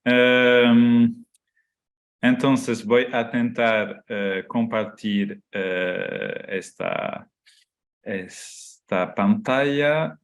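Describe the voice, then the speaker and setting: Halting slow-speaking man lecturing. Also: medium pitch. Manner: halting, many fillers and restarts, mixing in Portuguese words. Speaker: guest lecturer. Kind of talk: online lecture. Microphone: video call.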